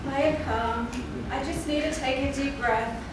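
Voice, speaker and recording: A woman's voice: high voice, fairly even intonation, unhurried, far from the mic. A woman acting a flustered, easily startled shop worker in an amateur stage play. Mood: shaken. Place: indoor theatre stage before an audience. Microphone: distant camera in the audience. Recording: echoey, noisy theatre recorded from afar.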